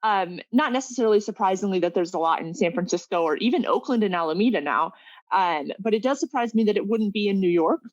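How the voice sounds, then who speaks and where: A woman's voice: mid-range voice, expressive, fast talker, dry recording, highs cut off. A woman from Northern California chatting casually as a guest in a conversation. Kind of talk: casual chat.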